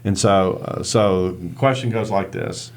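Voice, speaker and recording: A man's voice: low voice, measured pace. An American man hosting a panel discussion, conversational and affirming, asking the guests open questions. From United States. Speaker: host, moderator.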